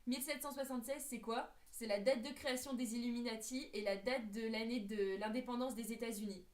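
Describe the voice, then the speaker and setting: A woman's voice: fairly high pitch, steady tone, a little fast. A young woman in her late teens, explaining things earnestly in a casual group discussion and hesitating often. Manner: earnest, hesitant with many fillers. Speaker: co-host presenting theories. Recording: echoey room.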